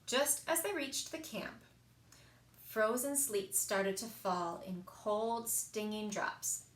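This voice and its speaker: A woman reading children's stories aloud as a story time reader, in an expressive, animated storytelling style. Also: mid-range voice, slow pace. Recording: echoey room.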